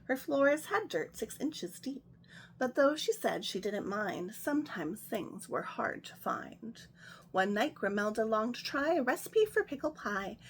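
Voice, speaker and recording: A woman hosting a children's story time, reading a picture book aloud in a gentle, sing-song way. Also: on the high side, expressive, measured pace, a little hiss or hum.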